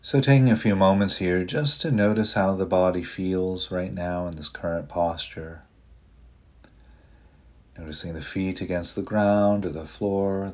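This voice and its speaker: Male meditation guide: deep and soft, very unhurried, gentle delivery with long pauses.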